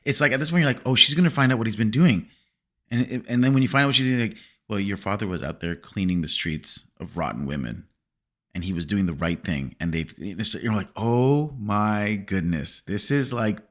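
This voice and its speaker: A man whose first language is Farsi, hosting a casual film discussion podcast in an animated way and often correcting himself mid-sentence. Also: on the low side, a little fast. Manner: animated, laughing, self-correcting.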